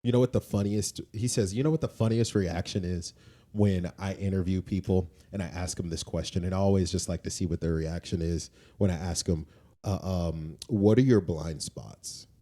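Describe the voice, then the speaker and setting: Deep, mellow-voiced man chatting casually. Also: normal speed. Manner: informal, slangy, repeats phrases for emphasis. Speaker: podcast co-host. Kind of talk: casual conversational talk.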